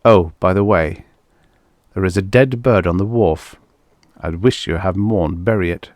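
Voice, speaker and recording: Guy reading as a bossy pompous diplomat husband, contemptuous and commanding, low male voice, story narration. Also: a little slow, darkish tone, no echo. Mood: calm, commanding. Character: domineering, furious husband, a pompous high-ranking diplomat.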